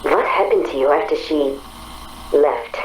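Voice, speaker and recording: Casting: searching, sincere machine intelligence, calm and deliberate, slightly low voice, faint noise behind, game drama. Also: female voice, poor audio. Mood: earnest, pleading. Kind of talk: dramatic game dialogue acting.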